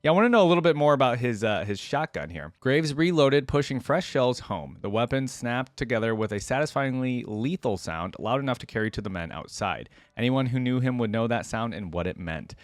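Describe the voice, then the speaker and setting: Casual, curious man reacting out loud. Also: medium pitch, animated, a little fast, hardly any pauses, no room sound. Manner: curious, conversational, thinking aloud. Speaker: video creator reacting to game lore. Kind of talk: casual reaction commentary with reading aloud.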